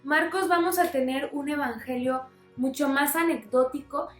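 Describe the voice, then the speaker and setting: Woman, high voice, friendly bible teacher, everyday examples, roomy echo. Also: steady tone, moderate pace, faint music behind. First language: Spanish. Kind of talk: explanatory Bible lesson to camera.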